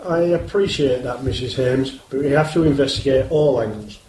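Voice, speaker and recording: A man's voice: medium pitch, a little mellow, lightly acted. Man playing a formal British police inspector, roomy sound. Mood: formal, probing. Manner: formal, measured, polite. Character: earnest, guarded male police inspector on a case. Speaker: actor in amateur radio play. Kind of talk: scripted radio drama dialogue. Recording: roomy, hissy amateur radio play recording.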